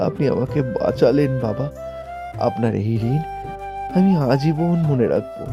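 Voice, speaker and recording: A man's voice: mid-range voice, expressive, a little slow, over music, close to an everyday voice. A man playing a humble, sorrowful orphaned young man in a cartoon, in dull, muffled audio. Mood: grateful. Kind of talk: cartoon dialogue.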